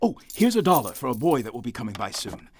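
An actor playing an eccentric, exuberant writer in a radio drama, with florid, theatrical, old-fashioned courtly speech. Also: male voice, medium pitch, very animated, quick pace, breathy edge. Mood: manic, exuberant.

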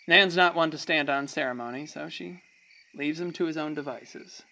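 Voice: mid-range voice, moderate pace, gravelly, sharp tone. An actor narrating a radio play as a folksy, wistful small-town storyteller.

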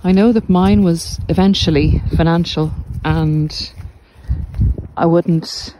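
A middle-aged woman giving reflective, informal podcast advice in a measured, deliberate way with pauses. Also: on the low side, steady tone, background noise. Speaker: podcast host and narcissistic abuse recovery coach. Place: walk outdoors along the coast.

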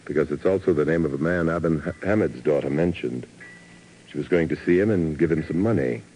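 An actor in a 1950s radio drama playing a cool, worldly secret agent with a dry, wry, unruffled manner. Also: male voice, a bass voice, a little fast, warm tone. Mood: probing. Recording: noisy vintage 1950s radio recording.

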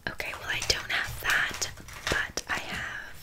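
A scatterbrained witch, played by a woman, speaks entirely in a soft, breathy whisper for an ASMR roleplay. She rambles casually with deadpan asides and filler words. Her manner is blunt and a little judgmental, like an amateur who makes do as she goes. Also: measured pace, lightly acted. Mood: distracted, making do. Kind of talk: whispered ASMR roleplay, improvised one-sided chat.

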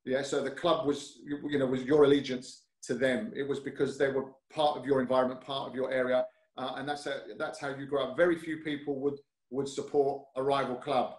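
A man's voice: mid-range voice, level tone, fairly quick. A man from North London with a London accent, a guest talking easily in an interview, hesitant with fillers and restarts. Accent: London English. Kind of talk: casual interview chat. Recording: roomy echo.

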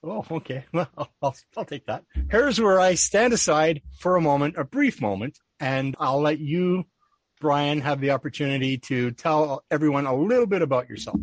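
A man hosting a podcast, reading a scripted introduction and then welcoming his guest, with a measured, deliberate delivery. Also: a little high, no room sound. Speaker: podcast host.

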